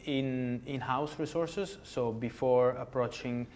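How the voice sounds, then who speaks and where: A man's voice: mid-range voice, takes it slowly, a little mellow, a little hiss or hum. Italian-accented man, hesitant guest. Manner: hesitant, many fillers and restarts, non-native phrasing. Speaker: podcast guest. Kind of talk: conversational interview.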